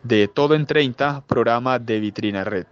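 A man's voice: medium pitch, moderate pace, clean tone, no echo. Male interviewer, dull lo-fi sound. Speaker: radio programme host, interviewer. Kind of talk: conversational interview. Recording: dull, lo-fi audio.

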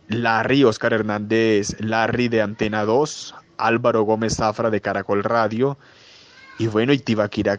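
A man hosting a programme and interviewing a guest in a conversational style. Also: mid-range voice. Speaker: radio programme host, interviewer. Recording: dull, lo-fi audio.

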